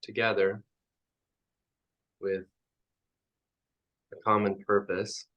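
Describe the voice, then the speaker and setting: A man leading a guided meditation as a teacher, speaking in halting, reflective phrases with frequent pauses. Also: lowish voice, flat delivery, lo-fi sound.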